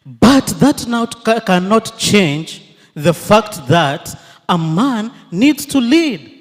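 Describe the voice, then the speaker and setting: A man argues his side with emphatic, measured points, slow and deliberate. His voice is fairly high for a man. It echoes with a long reverb through a big hall. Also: very animated. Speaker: panelist, historian. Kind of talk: impassioned public debate speech. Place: large hall with audience.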